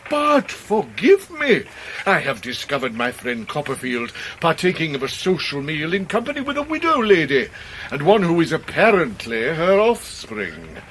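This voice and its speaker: An actor with an English accent playing a pompous, genial, hard-up middle-aged Victorian gentleman in a radio drama, with florid, theatrical delivery. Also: a little high, wildly swooping pitch, normal speed. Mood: florid, courteous. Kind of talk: 1990s British radio drama acting. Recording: noisy radio drama recording.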